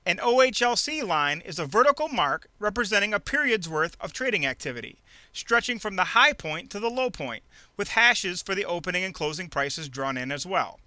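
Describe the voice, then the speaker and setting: A man reading a scripted instructional narration in a clearly enunciated, measured, teacherly way. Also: sits high, expressive, pushed and bright, no room sound. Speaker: narrator of an instructional trading video. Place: close dry voice-over recording.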